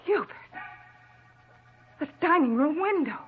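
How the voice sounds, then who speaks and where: Jumpy fretful wife, high voice, old timey radio drama, thin tinny sound. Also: female voice, expressive, measured pace, slight background noise. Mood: anxious. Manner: breathless, melodramatic, fretful. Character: frightened, excitable, nervous wife. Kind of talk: 1940s radio drama acting. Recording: tinny band-limited old radio recording.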